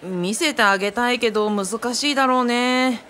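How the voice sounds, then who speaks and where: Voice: medium pitch, brisk, sharp tone, some chatter behind, slight background noise, close to an everyday voice. A performer playing a casual, knowledgeable young companion who explains things kindly in a radio drama. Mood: explanatory. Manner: easygoing, explanatory.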